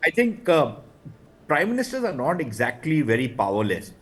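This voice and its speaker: Blunt Indian man, higher-pitched voice. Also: very animated, normal speed, fairly bright tone, husky. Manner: blunt, scornful, argues in numbered points. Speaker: political commentator on a panel. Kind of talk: opinionated panel analysis.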